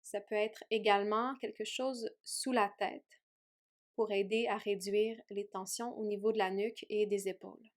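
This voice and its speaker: A woman yoga teacher leading a guided meditation in a gentle, soothing way with long pauses. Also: mid-range voice, level tone. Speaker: yoga teacher guiding a relaxation.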